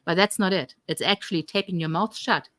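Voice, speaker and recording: A woman's voice: fairly low pitch, a little fast, a bit bright, no room sound, clean studio sound. A woman with a German accent, co-hosting a live health coaching Q&A stream with lots of ums and restarts. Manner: chatty, many ums and restarts. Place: home in Atlantic Canada.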